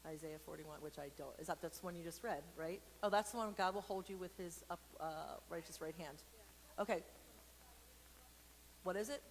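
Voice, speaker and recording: A woman's voice: fairly low pitch, moderate pace. Chatty, playful woman in an echoing hall. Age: adult. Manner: chatty, playful, rambling asides with comic exaggeration; speaks directly to viewers, asking questions. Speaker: host reading a picture book to children during an online church service. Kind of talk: casual interactive read-aloud with chatty asides. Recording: long reverberant hall echo, background noise.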